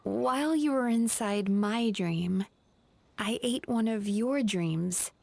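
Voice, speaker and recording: A woman voicing a gentle young female dream-eating spirit in a video game, soft-spoken, polite and apologetic. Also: fairly high pitch, takes it slowly, creaky, no echo, full character voice.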